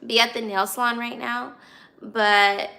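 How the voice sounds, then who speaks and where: A young American woman hosts a casual livestream in a raspy, slightly hoarse voice. Her pitch sits fairly high, with a bright, forward edge and plenty of crackly fry. She speaks directly to viewers in relaxed slang. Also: unhurried. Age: 20s. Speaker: livestream host.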